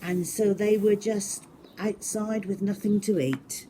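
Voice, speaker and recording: A woman telling a story to children in an expressive, emphatic way, addressing young listeners directly. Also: mid-range voice, takes it slowly, a little hiss or hum.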